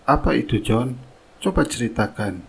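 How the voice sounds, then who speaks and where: A man playing a dignified older company boss and father in a cartoon melodrama, husky, measured and authoritative. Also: medium pitch, lively intonation, heavily acted. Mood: concerned.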